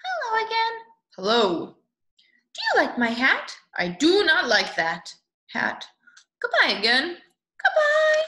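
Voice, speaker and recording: A woman's voice: very high-pitched, huge pitch swings, takes it slowly, pauses often, bright, forceful tone, echoey room, exaggerated voice. A woman voicing polite, chatty picture-book dogs trading exaggerated sing-song greetings. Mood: polite, blunt.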